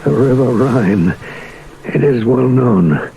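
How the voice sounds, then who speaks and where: A man's voice: medium pitch, expressive, slow pace, mellow, rough recording. An actor playing a possessed man who declaims grandiose, mournful verse in a theatrical vintage radio drama. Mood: solemn. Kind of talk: 1970s radio drama acting. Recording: vintage radio recording, faint noise.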